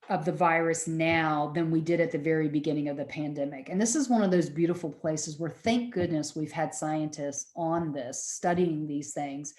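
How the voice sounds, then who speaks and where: A middle-aged woman, lecturing students in a loud, projecting voice and often checking that they follow. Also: low voice, roomy sound. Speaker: university lecturer.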